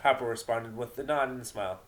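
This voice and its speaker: A man's voice: mid-range voice, fairly quick. A man narrating a story in an amateur dramatic reading.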